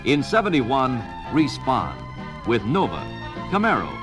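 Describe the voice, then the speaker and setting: A man's voice: mid-range voice, wildly swooping pitch, a little slow. An American man reads scripted promotional film narration in a punchy, emphatic salesman's delivery, from a vintage 1970 record. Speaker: narrator of a car dealer training film. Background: music.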